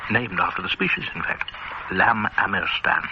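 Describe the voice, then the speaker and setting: A pompous older gentleman gourmet in an old radio drama. His voice sits low and gravelly, and he savours every word with smug, measured relish. The recording is dull and lo-fi, buried in heavy hiss. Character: pompous older male gourmet, club regular.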